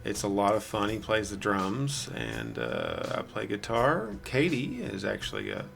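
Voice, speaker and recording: A man with a raspy, hoarse voice giving rambling, self-deprecating casual commentary as a gameplay video host. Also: on the low side, wildly swooping pitch, unhurried, a bit bright, soft background music. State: hoarse from singing the night before.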